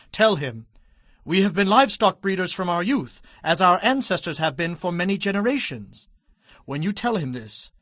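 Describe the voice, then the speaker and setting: A man playing an assured, practical Hebrew governor in a dramatized scripture reading, with a measured, instructive manner. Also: a little high, a bit bright, no room sound, studio quality.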